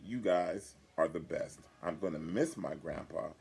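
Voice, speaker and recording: An older man voicing a gentle, heartfelt young male animal from a children's storybook, in a gravelly voice. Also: low voice, a little slow, exaggerated voice. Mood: grateful.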